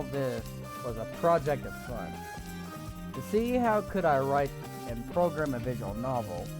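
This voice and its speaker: Slow man reading a game over music. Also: mid-range voice. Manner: stumbles over words and laughs at himself. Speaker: gamer reading a visual novel aloud. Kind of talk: slow read-aloud with casual asides. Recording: game music playing under voice.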